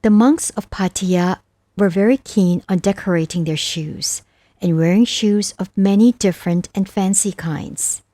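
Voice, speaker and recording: Slow, mellow woman narrator. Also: mid-range voice, no room sound. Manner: measured, deliberate phrasing. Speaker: narrator of a Buddhist scripture audiobook. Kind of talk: scripted narration, verse recitation.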